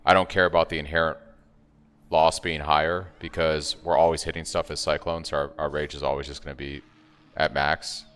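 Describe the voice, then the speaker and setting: A man's voice: a bass voice, level tone, a little fast, a bit bright, clean tone. A man streaming a game, talking casually and thinking aloud as he answers chat, with a soothing voice. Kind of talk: casual live-stream commentary. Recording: long reverb, faint music behind.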